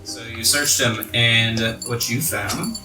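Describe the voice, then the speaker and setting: A man's voice: medium pitch, slow. A man narrating a tabletop roleplaying game as game master, in informal, descriptive storytelling. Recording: roomy, distant mic, music underneath. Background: music.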